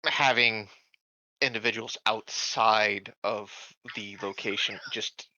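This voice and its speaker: A man's voice: lowish voice, steady tone, slow pace, bright, forceful tone, no echo, dull audio. A man playing a tabletop roleplaying game, casually chatting through tactics.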